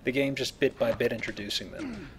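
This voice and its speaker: A man's voice: mid-range voice, big pitch swings, fairly quick, crowd noise. Joking man commentating over a game. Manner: joking, explanatory. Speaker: Let's Play host. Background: video game sounds.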